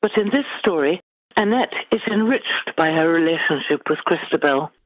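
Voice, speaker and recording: A middle-aged British woman, an author giving measured, formally phrased answers in a literary interview. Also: sits low, expressive, dry recording, dull audio. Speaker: guest author.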